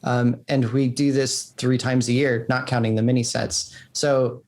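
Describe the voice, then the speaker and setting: A man's voice: medium pitch, flat delivery, measured pace, darkish tone. Man casually explaining his design work. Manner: rambling explanations with frequent "like". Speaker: game designer being interviewed. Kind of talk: casual podcast interview.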